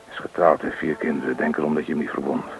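A man playing a calm, calculating gang leader in a Dutch radio play, measured and commanding. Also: very deep voice, soft background music. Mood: stern, businesslike. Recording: dull, noisy old radio play recording.

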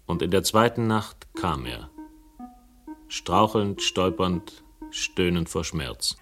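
Low-voiced, wry crime narrator. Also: male voice, expressive, slow pace, fairly bright tone, soft background music, full character voice. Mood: wry, brooding. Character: wry first-person narrator hero of a crime story. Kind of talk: radio crime drama narration.

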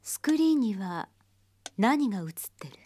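An actress playing a calm therapist in a radio drama, guiding a patient with measured, gently probing questions. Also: female voice, on the high side, a little hiss or hum.

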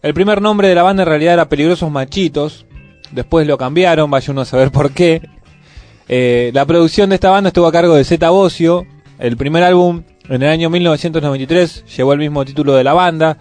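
A man's voice: fairly high pitch, fast, a little mellow. A man with an Argentine accent hosting a radio music show, commenting in a conversational way. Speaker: radio host.

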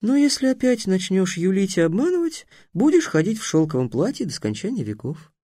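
A man doing a sharp-tongued teasing girl. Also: high-pitched, big pitch swings, a little fast, dry recording, clean studio sound, pitched above the performer's own voice. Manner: teasing, coolly threatening.

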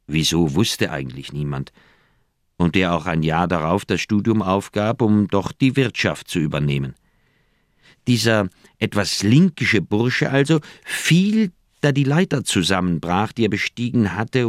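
Radio drama: grave older male doctor, very low voice, close dry sound, deliberate delivery of a haunted recollection. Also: no room sound. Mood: uneasy, grave. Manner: measured, deliberate, literary phrasing with dramatic pauses. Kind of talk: German radio play monologue, recollection.

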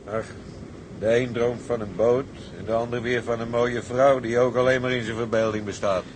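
A man's voice: mid-range voice, level tone, normal speed, noisy background, natural voice. A man playing a worldly, jovial sailor in a radio drama. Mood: teasing. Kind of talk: Dutch radio drama acting.

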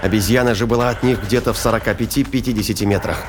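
A man's voice: fairly low pitch, steady tone, rapid-fire, background noise. A man reading scripted narration for a sci-fi audio drama. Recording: studio reading over prominent music and effects.

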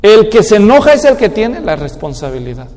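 A man's voice: high voice, sing-song, a little fast, a little mellow. A man preaching a sermon in an emphatic manner, now and then mimicking people's excuses. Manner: emphatic, acts out excuses in a mocking tone. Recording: long hall reverb.

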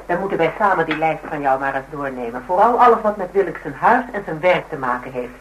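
A woman's voice: low-pitched, expressive, fast, a bit bright, low-quality recording. Inquisitive woman, faint hiss. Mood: brisk. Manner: chatty, probing, colloquial. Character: inquisitive, brisk, caring friend and fellow investigator. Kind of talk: Dutch radio drama acting, naturalistic dialogue.

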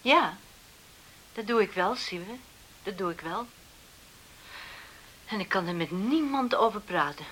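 An actress playing a brisk, strict but caring farm mother in a radio play. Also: mid-range voice, expressive, fairly bright tone, slight background noise, low-quality recording. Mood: worried.

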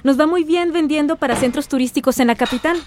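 An actress playing a sensible, patient grown daughter from a small town in a radio soap, with an earnest, gently protesting manner. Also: female voice, high voice, quick pace, slight background noise, lightly acted. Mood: earnest, persuading. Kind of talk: radio soap opera acting.

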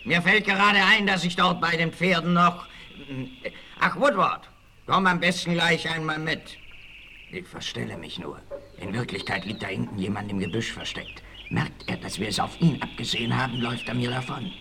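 A sharp, sneering bandit leader. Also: male voice, medium pitch, moderate pace. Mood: sly, scheming. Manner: sly, mocking, barking orders. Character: ruthless scheming bandit colonel, adult male, sneering and hot-tempered. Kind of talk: 1960s German audio drama acting. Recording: faint noise of an old vinyl record.

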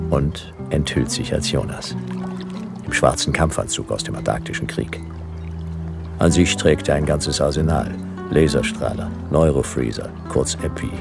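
Very deep, gravelly hard-boiled detective. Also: male voice. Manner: terse, dry, clipped sentences. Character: hard-boiled, laconic male detective narrating his case. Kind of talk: noir radio drama narration, scripted.

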